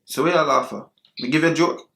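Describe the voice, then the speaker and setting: A man's voice: a little high, fast, fairly bright tone. Man, fake Jamaican patois, teasing, flirty, roomy echo. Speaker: prank video host. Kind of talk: putting on a Jamaican accent on a chat app.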